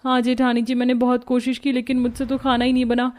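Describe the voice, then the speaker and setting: A woman voicing expressive young daughters-in-law in a Hindi cartoon story, theatrical in delivery. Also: on the high side, quick pace, close to an everyday voice. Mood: overwhelmed. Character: young working sisters-in-law, lively and put-upon housewives.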